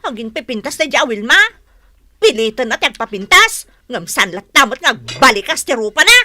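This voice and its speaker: A woman playing a sharp-tongued, vain village woman in a radio comedy drama, quick to take offence and scornful. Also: on the high side, very animated, heavily acted. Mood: indignant.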